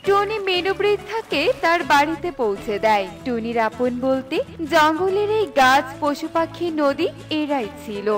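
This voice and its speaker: A woman's voice: high voice, expressive, measured pace, music in the background. A woman reading scripted fairy-tale narration for a children's cartoon.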